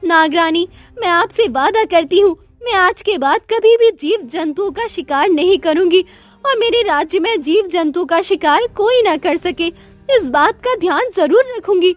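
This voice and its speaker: A woman's voice: very high-pitched, expressive, a little slow, no room sound, soft background music, voice pushed up. A woman voicing an earnest young queen and devoted mother in a cartoon moral story, speaking with feeling. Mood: remorseful, pleading.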